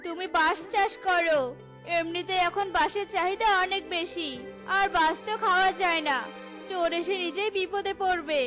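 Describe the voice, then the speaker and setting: A woman voices a cartoon tigress, a practical farm wife who frets easily. Her voice is extremely high, set over loud background music. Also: steady tone, normal speed. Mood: persuasive. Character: tiger farmer's wife, practical, persuasive, easily alarmed. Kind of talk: Bengali animated fairy-tale cartoon dialogue.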